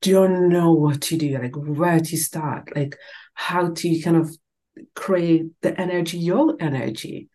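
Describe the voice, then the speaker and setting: Low-voiced Czech woman hosting a podcast. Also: slow pace, warm tone. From Czech Republic. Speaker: podcast host. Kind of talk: casual conversational interview.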